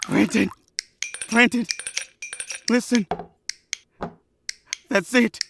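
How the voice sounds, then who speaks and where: A performer voicing a drunk, panicky young male accomplice in a 1940s-style radio play, shrill and close to tears. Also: steady tone, drawn-out words, vocal fry, noisy background. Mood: hysterical. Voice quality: shrill, near crying.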